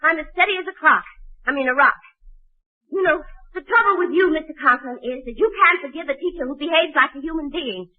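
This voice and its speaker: An actress playing a witty, lovelorn schoolteacher in 1940s radio comedy, flustered and stammering, then drily sarcastic. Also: female voice, high-pitched, fairly quick, natural voice. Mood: indignant. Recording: muffled, narrow-band 1940s radio recording.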